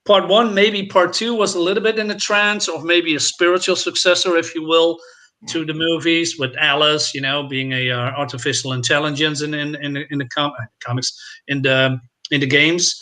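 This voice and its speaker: A man chatting casually on a film discussion panel, with halting, repetitive phrasing. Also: fairly high pitch, little pitch movement, sharp tone. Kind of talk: casual chat.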